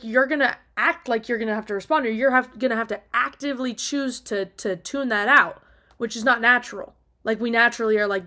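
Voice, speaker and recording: A woman's voice: mid-range voice, pushed and bright. A woman talking casually and off the cuff in an opinionated, emphatic rant, exasperated and casually sweary. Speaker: video diarist.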